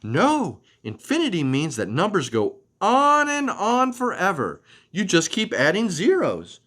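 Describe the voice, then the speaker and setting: A man's voice: high voice, huge pitch swings, measured pace, fairly bright tone, pitched above the performer's own voice, full character voice. A man voicing a helpful, knowing female butterfly in a storybook read-aloud.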